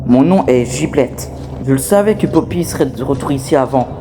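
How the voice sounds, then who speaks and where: A man's voice: medium pitch, animated, brisk, music in the background, lightly acted. A man voicing a scrappy, talkative toy outcast, wary but scheming, in a fan dub of a horror game. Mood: hushed urgency. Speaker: amateur voice actor dubbing a game character.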